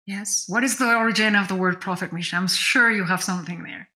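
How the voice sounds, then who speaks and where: A woman's voice: mid-range voice, a little fast, bright, forceful tone. A woman co-hosting a spiritual discussion podcast, thinking aloud in a reflective way and restating her points. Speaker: podcast co-host.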